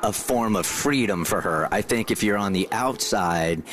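A man's voice: on the low side, moderate pace, a bit bright, slight background noise. A man speaking as a podcast host, interviewing guests in a conversational way.

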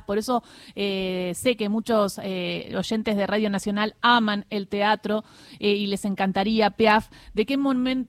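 A woman's voice: on the high side, no echo. A woman radio host, interviewing a guest with enthusiasm.

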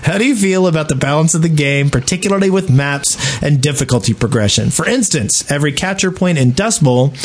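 A man with a fairly high voice co-hosts a casual gaming podcast. He talks in a loose, joking way and reads out mail, with some faint noise behind him. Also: animated, fairly quick, few pauses. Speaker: podcast co-host.

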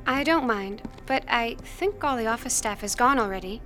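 A woman playing a pious, self-possessed high school girl in an audio drama, earnest and composed. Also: expressive, normal speed, pushed and bright, soft background music. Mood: polite, reserved.

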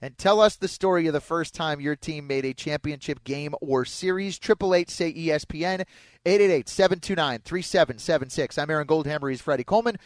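A man's voice: fairly high pitch, brisk, dry recording. An American man hosting sports talk radio in an emphatic, opinionated way, close on a studio mic. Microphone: close studio broadcast microphone.

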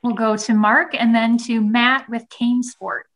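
A woman's voice: on the high side, flat delivery, a little slow, a bit bright. A woman moderating a conference call, briefly announcing who asks next. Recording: slightly noisy call audio.

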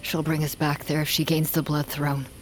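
An actress in an audio drama playing a grave, protective hunt leader who gives earnest, hushed warnings. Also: female voice, sits low, flat delivery, moderate pace, slight background noise, natural voice. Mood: grave, confiding. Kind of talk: audio fiction drama acting.

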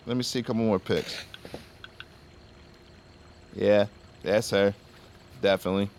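An actor playing a laid-back, evasive young party drug dealer who talks in stoner slang, in a naturalistic audio drama. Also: male voice, on the low side, fairly even intonation, a little slow, a little mellow. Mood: wary, gossipy. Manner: slangy stoner talk, peppered with bro and man. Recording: faint ambient background noise.

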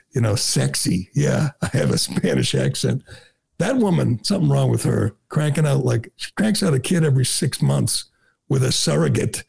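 A middle-aged man holds forth as a talk radio host. His voice has a heavy gravelly fry and a husky edge. He speaks in a sarcastic, mocking tone, with lots of "uh" and self-corrections. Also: mid-range voice, darkish tone. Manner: sarcastic, mocking, with frequent filler "uh" and restarts. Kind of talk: opinionated talk radio monologue.

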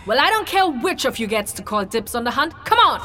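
A woman's voice: on the high side, very animated, fairly quick, sharp tone, a little hiss or hum. A woman playing a playful, cheeky young human adventurer in a fantasy audio drama, slangy and theatrical in delivery. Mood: easygoing.

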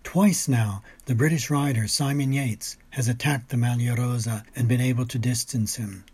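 Husky, mellow man narrating. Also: medium pitch, moderate pace. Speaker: food, wine and travel writer. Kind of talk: scripted narration of cycling race report.

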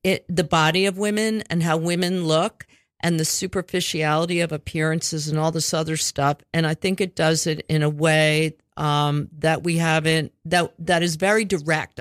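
A woman's voice: low-pitched, fairly even intonation, normal speed, no room sound, studio quality. Chatty rambling woman radio host. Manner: rambling, chatty, swears casually. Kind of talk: casual radio interview. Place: community radio studio.

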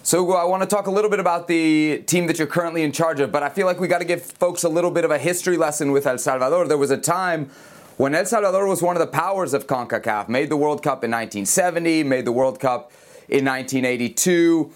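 A man hosting a sports talk show, brisk and skeptical, introducing a guest and giving background. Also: fairly high pitch. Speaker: podcast host.

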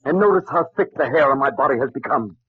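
A man's voice: mid-range voice, expressive, fast talker, slightly rough, no echo. An actor playing a driven young male scientist in an old radio drama, intense and melodramatic. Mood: alarmed. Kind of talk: 1940s radio acting. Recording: muffled, dry old radio recording.

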